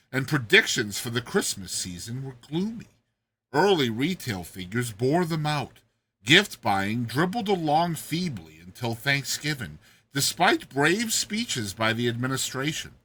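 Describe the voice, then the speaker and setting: A man's voice: fairly high pitch, pushed and bright, breathy edge. A man narrating a short story as a dramatized audiobook reading, with theatrical, emphatic delivery.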